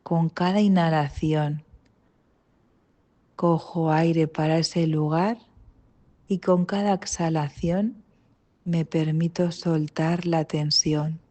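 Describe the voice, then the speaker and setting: Woman, Spain accent, meditation guide, very slow pace, soft-edged mellow tone, calm, gentle. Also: low voice. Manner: calm, gentle, instructional with long pauses. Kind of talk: guided mindfulness body scan meditation.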